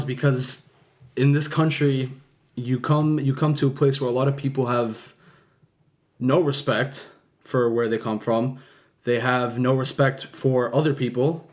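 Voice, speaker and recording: A man talking casually in a family conversation, rambling and often checking that he is understood. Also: medium pitch. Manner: rambling, keeps asking "you know what I'm saying". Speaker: family member on the podcast.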